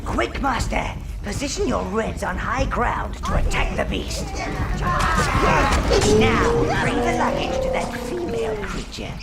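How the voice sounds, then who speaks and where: A man voicing a wizened, scheming old minion who advises his dark lord, in a video game. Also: high voice, wildly swooping pitch, exaggerated voice. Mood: urgent. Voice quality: gravelly, croaking. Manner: slow, relishing, theatrical. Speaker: game character. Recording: game audio with loud music behind.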